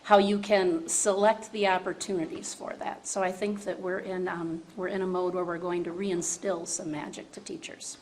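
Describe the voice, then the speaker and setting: A woman speaking unscripted on a panel in a measured, reflective way about her field. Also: on the low side, level tone, a little mellow, poor audio. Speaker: education panelist. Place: live panel event. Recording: faint room noise of live event.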